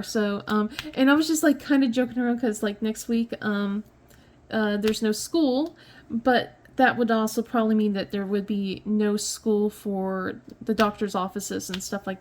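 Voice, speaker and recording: A woman in her thirties talks casually at home, a touch sniffly. Her voice sits fairly high, and she wanders through her thoughts with fillers, restarts and small laughs. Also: a little mellow, slight background noise. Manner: rambling, many fillers and restarts, light laughs. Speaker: crafting vlogger. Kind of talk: casual chat while diamond painting.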